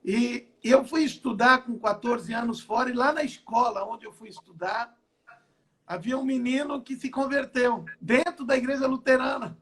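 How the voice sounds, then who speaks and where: A man's voice: extremely high pitch, moderate pace, a bit bright. An older man from Brazil, a pastor giving his testimony in an online interview from home, repeating and restarting phrases as he talks. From southern Brazil. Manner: repetitive, stacks and restarts phrases. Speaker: apostle and pastor.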